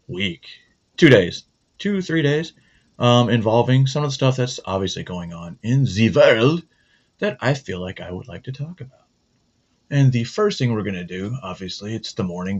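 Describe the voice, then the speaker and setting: A man hosting his own show, chatting casually and rambling, cracking jokes and correcting himself. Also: deep voice. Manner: rambling, joking, self-correcting. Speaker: host. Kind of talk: casual morning show chat. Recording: dull lo-fi sound.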